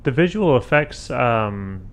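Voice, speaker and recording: A man co-hosting a casual podcast with a dry, monotone delivery. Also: medium pitch, slow pace. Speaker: podcast co-host.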